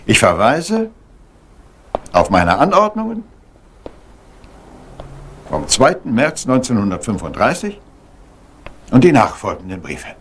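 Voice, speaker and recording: Fussy detective with wildly swinging intonation. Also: male voice, medium pitch, wildly swooping pitch, slow pace, long pauses, a little hiss or hum, full character voice. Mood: fussy, exacting. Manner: formal, meticulous, polite. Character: fussy, courteous middle-aged male private detective. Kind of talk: German retro crime radio drama.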